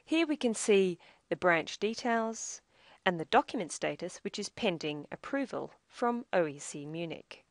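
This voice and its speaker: A woman narrating a scripted software tutorial as demo presenter, in a measured, explanatory way. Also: fairly low pitch, expressive, no echo, studio quality.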